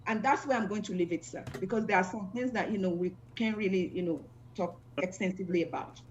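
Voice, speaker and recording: Halting woman, muffled. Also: medium pitch, a little hiss or hum. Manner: halting, many fillers and restarts. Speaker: panel guest. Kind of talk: discussion panel answer.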